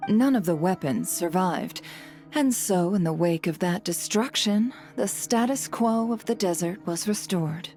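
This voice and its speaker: A woman playing a composed, worldly storyteller in a video game, speaking in a measured, coolly wry manner. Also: on the low side, mellow, soft background music. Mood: grave, reflective. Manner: measured, poised. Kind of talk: video game character acting.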